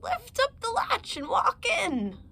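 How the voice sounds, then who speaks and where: A woman voicing a sly, hungry male wolf who disguises his voice as an old grandmother's, high and squeaky. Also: huge pitch swings, takes it slowly, creaky, sharp tone, full character voice. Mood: falsetto mimicry.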